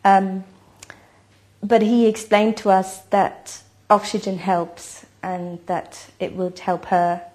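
A woman's voice: mid-range voice, level tone, slow pace, a little mellow. A woman in her thirties from Iceland, a native Icelandic speaker, giving a personal interview account at home. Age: 30s. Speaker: mother telling her family's story. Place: home kitchen, indoors.

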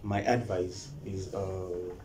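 Deep-voiced, mellow Zimbabwean young man. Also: little pitch movement, slow, soft background music. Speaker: musician guest. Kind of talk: studio interview. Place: indoor TV studio. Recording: roomy studio with faint noise.

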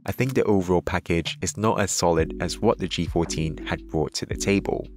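Deep-voiced man doing a calm tech review. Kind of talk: scripted voice-over review.

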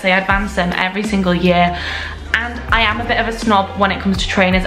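A woman's voice: mid-range voice, a bit bright. Gushing young woman vlogger. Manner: enthusiastic, gushing, lots of "like" and "so". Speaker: lifestyle vlogger. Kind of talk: casual product review to camera. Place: indoor room at home. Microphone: camera at desk distance. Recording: echoey room with faint music.

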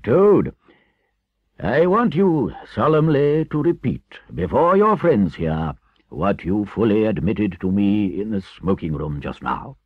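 Man acting a storybook badger, older male, solemn, righteous, commanding, slow deliberate delivery, husky tone. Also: lowish voice, big pitch swings, no room sound, phone-quality sound, voice pushed up, exaggerated voice. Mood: solemn, satisfied. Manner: solemn, slow, emphatic oratory.

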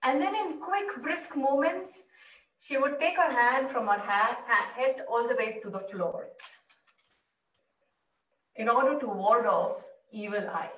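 A woman tells a personal story in slow, deliberate phrases. The delivery is reflective and measured, picked up by a distant camera microphone in a clearly echoing space. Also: fairly high pitch, lively intonation, fairly bright tone. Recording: roomy, distant microphone via video playback.

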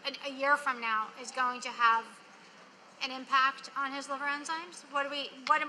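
High, bright woman pressing questions. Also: steady tone, moderate pace, big-room sound, slight background noise. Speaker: panelist. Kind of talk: questioning a pitch.

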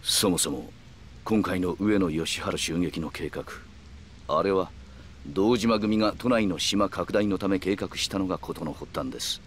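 An actor playing a calm, calculating middle-aged yakuza man who speaks in polite, measured, formal phrases in a video game. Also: low-pitched, a little hiss or hum. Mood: measured, insinuating. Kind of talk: Japanese video game cutscene acting.

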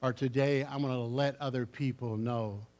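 An older man, a pastor, preaching a story-filled sermon in a church sanctuary, rising loud on key phrases and dropping low, recorded from a camera at the back. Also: fairly even intonation, moderate pace. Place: church sanctuary with seated congregation. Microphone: far camera at the back of the church.

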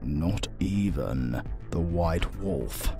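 A man voicing a solemn, loyal vampire warrior in a dark fantasy audio roleplay, measured and formal. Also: deep voice, expressive, vocal fry, music in the background, full character voice. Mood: grave.

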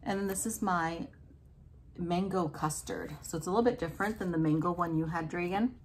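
Soft-spoken woman chatting over food. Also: fairly low pitch, a little fast, slight background noise. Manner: soft, relaxed, savoring food between remarks. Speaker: food vlogger. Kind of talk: casual mukbang chat. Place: small indoor room at a table.

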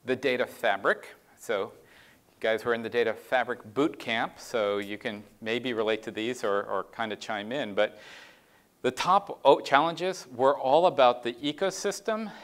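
A man's voice: mid-range voice, normal speed, fairly bright tone. Middle-aged man giving a conference keynote. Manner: conversational, explanatory, frequent "right?" and "um". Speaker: keynote speaker. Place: conference stage in a large dark room.

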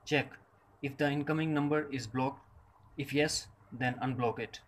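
A man's voice: medium pitch, fairly even intonation, moderate pace. A man reading a scripted step-by-step tech tutorial in short, separated instructional phrases. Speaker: tutorial presenter.

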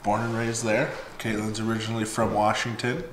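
A man's voice: on the low side, level tone, brisk. A man of twenty-nine from Hawaii, chatting casually at home in short, plain answers. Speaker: vlogger. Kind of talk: casual chat. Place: bright home kitchen, indoors. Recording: echoey room with faint noise.

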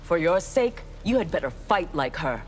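A woman's voice: lowish voice, big pitch swings, moderate pace, soft background music. A woman voicing a proud, ruthless young female ninja warrior in a video game, speaking in a cold, haughty, clipped way.

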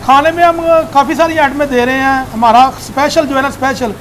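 A man's voice: very high voice, steady tone, fairly quick, fairly bright tone. A man, giving a casual interview as a restaurateur and often repeating his words. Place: indoor restaurant seating area. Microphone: clip-on lapel mic. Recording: clear background ambient noise.

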